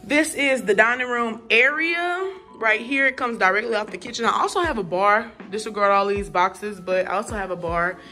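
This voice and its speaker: A woman's voice: a little high, normal speed, few pauses, bright, forceful tone, soft background music. Chatty woman touring an empty apartment. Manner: chatty, informal slang, addresses viewers directly. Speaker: vlogger giving an apartment tour. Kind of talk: casual apartment tour vlog. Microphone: phone camera held while walking.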